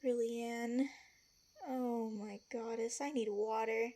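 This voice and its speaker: A woman's voice: a little high, flat delivery, slow, mellow. A woman acting a feisty, protective palace servant in an audio drama, blunt and emphatic. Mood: shocked, exasperated.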